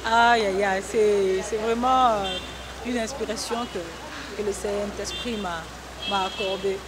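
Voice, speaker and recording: A woman's voice: medium pitch, fairly even intonation, unhurried, a crowd in the background. A woman gospel singer who grew up on the Congo-Zambia border, giving earnest, testimonial answers in a talk show interview with hesitant restarts. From Congo-Zambia border region. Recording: noisy broadcast recording.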